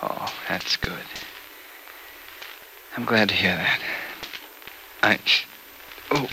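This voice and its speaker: Weak young patient, sharp strained tone. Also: male voice, deep voice, exaggerated voice, poor audio. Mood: weak, concerned. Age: young adult. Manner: halting, repeating words anxiously. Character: weak, anxious, devoted young man, injured patient. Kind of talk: classic radio soap opera acting. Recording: old radio recording with faint noise.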